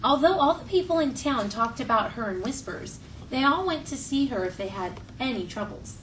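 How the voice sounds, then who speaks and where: A woman's voice: on the high side, animated, moderate pace. Woman teacher reading aloud, distant mic. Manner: clear instructional phrasing, prompting children. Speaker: elementary school teacher. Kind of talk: classroom read-aloud and questioning. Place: classroom. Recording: distant mic, faint classroom noise.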